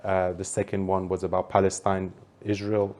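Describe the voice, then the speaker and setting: Iran-born commentator, 30s, lower voice, earnest and fluent as an interviewee. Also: male voice, flat delivery, moderate pace, a little mellow. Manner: earnest, fluent, talks at length. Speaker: political commentator. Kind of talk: interview answers.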